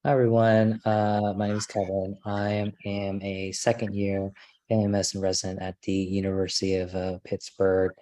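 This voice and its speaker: Young male resident from NYC, very deep, mellow, flat, dry sound. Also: normal speed. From New York City, USA. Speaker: family medicine resident, panelist. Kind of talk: casual self-introduction.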